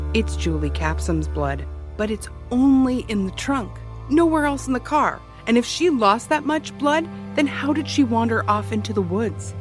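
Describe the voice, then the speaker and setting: A woman's voice: mid-range voice, close to an everyday voice. A woman playing a wry, teasing small-town private eye, telling her story drily in a fiction podcast. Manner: dry, teasing, storytelling for dramatic effect. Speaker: private investigator being interviewed. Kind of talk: fiction podcast acting, interview style.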